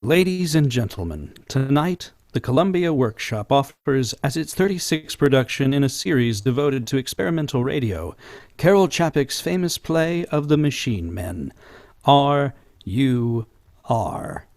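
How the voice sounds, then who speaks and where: A man playing a 1930s radio announcer, introducing a drama in a grand, measured, theatrical way. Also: mid-range voice, expressive, darkish tone, no echo, studio quality. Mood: grand, portentous.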